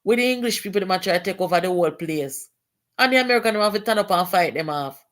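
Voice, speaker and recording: A woman's voice: on the low side, lively intonation, fast, a bit bright. A woman from Jamaica with a Jamaican accent, reading a political letter aloud and breaking into Patois to comment on it. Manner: switches to Patois, exclamatory, rising indignation.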